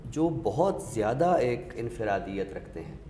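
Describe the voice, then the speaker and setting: A man with a Pakistani accent, giving a literary talk with recited verse in a measured, explanatory way with pauses. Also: on the low side, big pitch swings, dark tone. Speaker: host of an Urdu literature show. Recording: echoey reverberant room, faint noise.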